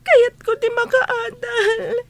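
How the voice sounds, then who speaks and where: An actress playing a timid, sorrowful young housemaid in a radio drama. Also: female voice, very high-pitched, flat delivery, slow, dry recording, full character voice. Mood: tearful. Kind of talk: Ilocano radio drama acting.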